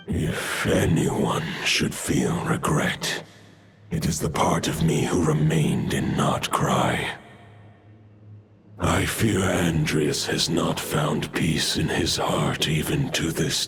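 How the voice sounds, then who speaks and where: An actor playing an ancient, vast male wolf god who speaks in a grave, archaic, measured way, in a video game. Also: a bit bright, faint music behind, exaggerated voice. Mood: solemn. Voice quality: deep gravelly voice.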